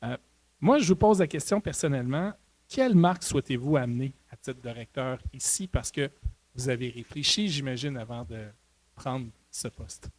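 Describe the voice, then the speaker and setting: A man hosting a conversation, setting up long questions in a measured, deliberate way. His voice sits at a middle pitch. The recording is close and dry. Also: a little mellow, no echo. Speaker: interviewer, host. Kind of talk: interview questions.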